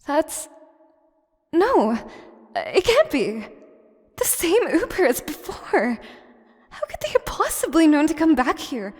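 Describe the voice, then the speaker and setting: Casting: actress as possessive, menacing female yandere for audio drama; higher-pitched, very unhurried delivery, theatrical diction. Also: big pitch swings, no room sound, studio quality, heavily acted. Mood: frustrated, disbelieving. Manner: theatrical, formal phrasing, slow and deliberate, dropping into whispers. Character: obsessive, possessive yandere assistant woman, scheming and menacing. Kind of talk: scripted audio roleplay, intimate monologue to listener.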